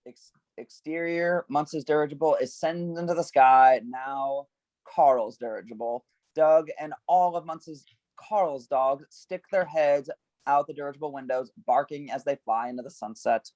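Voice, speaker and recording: A man's voice: fairly high pitch, a little slow, a little mellow, no echo. A man reading stage directions at a script table read, giving scene headings some emphasis.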